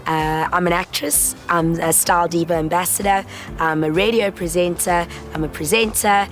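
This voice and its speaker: A woman's voice: on the low side, steady tone, normal speed, faint music behind. A woman in her twenties from South Africa, a presenter and voiceover artist, confidently introducing herself. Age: 20s.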